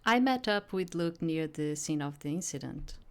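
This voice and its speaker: A woman's voice: sits low, animated, normal speed. A woman streaming a visual novel, reading the game text aloud and breaking off into rambling, improvised commentary. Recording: game music behind the voice.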